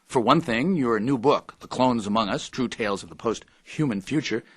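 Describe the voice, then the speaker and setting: An actor playing an earnest, polite radio reporter conducting an interview in an audio drama. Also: male voice, mid-range voice, moderate pace, a bit bright, slightly rough.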